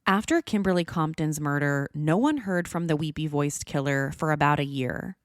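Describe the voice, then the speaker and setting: Woman podcast host reading true crime script, low voice, really dry close sound. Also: expressive, moderate pace, no echo.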